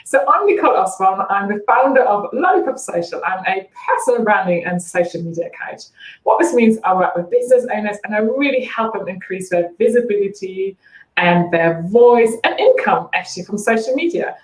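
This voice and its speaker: A woman's voice: medium pitch, lively intonation, brisk, reverberant, low-quality recording. Chatty German-accented woman in her forties. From East Germany. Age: 40s. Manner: chatty, laughs often. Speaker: guest, branding coach. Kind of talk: casual interview chat.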